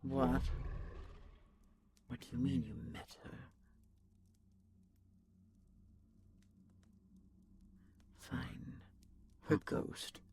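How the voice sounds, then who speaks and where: A man playing an ancient, secretive but tender dragon in an audio roleplay, with a gravelly, often whispery voice and a measured, intimate delivery. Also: medium pitch, very animated, a little mellow, slight background noise. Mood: uneasy dread.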